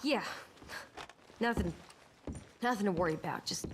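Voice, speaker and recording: A woman's voice: medium pitch, animated, moderate pace, noisy background, lightly acted. An actress playing a tough, proud young outlaw woman in a western game, blunt and offhand, with an American accent. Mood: shaken, defiant. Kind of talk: western video game acting.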